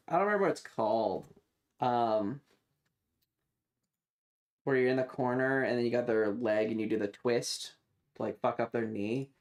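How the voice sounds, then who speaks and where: A man's voice: medium pitch, a little mellow. A man chatting casually on a livestream, rambling and swearing with enthusiasm as he reacts and gives his takes.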